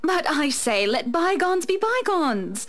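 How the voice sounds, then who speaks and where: A woman's voice: sits high, sing-song, slow, a bit bright, heavily acted. A woman playing a poised, self-assured wealthy wife in a visual novel, genteel and gracious, over faint music. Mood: gracious, sympathetic.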